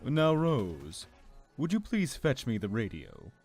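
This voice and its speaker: A man playing a commanding, calculating lord in an audio drama, with a measured, formal and authoritative manner. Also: medium pitch, huge pitch swings, a little mellow, husky, heavily acted. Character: commanding male lord, calm, calculating leader. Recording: music under the voice.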